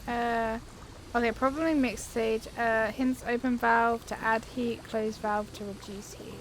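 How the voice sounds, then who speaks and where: A woman gives casual gameplay commentary in a slightly high voice. She talks informally, thinking aloud, with noticeable game ambience and noise behind her. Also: steady tone, measured pace. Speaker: gaming YouTuber. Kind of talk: casual let's-play commentary. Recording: clear background noise.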